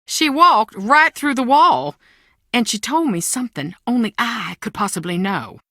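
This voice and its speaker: A woman's voice: on the high side, unhurried, no room sound, studio quality, heavily acted. An actress playing a proud, anxious middle-aged mother who is defensive and theatrical, in a video game. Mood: bitter, shaken. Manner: defensive, theatrical, emotionally expressive. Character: proud, anxious Southern mother guarding family secrets.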